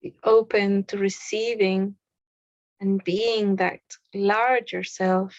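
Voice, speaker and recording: A woman leading a guided meditation, speaking softly with long pauses between short phrases. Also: mid-range voice, flat delivery, no room sound, dull audio. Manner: slow, soft, with long pauses.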